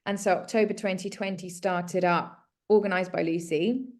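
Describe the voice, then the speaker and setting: A woman's voice: lowish voice, steady tone, normal speed, darkish tone. A woman in her thirties from South Africa, a guest talking casually and earnestly about her work and her story. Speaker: guest, home organiser. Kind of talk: casual conversation.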